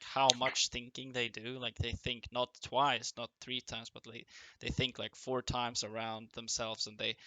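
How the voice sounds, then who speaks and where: Male podcast host, sharp tense tone, slightly hoarse, dry room, chatty and halting. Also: mid-range voice, no echo. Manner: friendly, rambling, lots of restarts and fillers. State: losing his voice, clearing throat. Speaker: interview host. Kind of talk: casual interview over voice chat.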